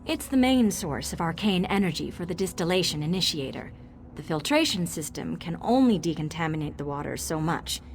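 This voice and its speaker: A synthetic woman's voice playing a brisk, self-assured engineer in a fantasy video game, speaking with precise, technical confidence. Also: lowish voice, animated, a little hiss or hum. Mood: confident, explanatory.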